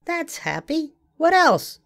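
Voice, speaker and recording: Storybook figure: older man doing a little girl rabbit, high, sing-song, slow and eager. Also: very animated, vocal fry, bright, forceful tone, heavily acted. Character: small young female rabbit, sleepy and eager.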